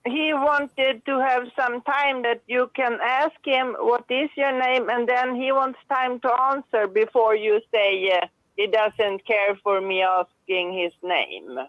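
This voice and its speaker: Slow Swedish-accented woman on a phone line. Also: on the high side, no echo. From Sweden. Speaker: regular caller on a call-in show. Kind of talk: casual call-in chat.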